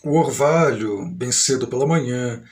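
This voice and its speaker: A man's voice: mid-range voice, measured pace. A middle-aged man from Brazil with a São Paulo accent, talking in a grandiose, aggrieved monologue that meanders at an uneven pace. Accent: São Paulo Brazilian Portuguese. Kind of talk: rambling monologue to camera.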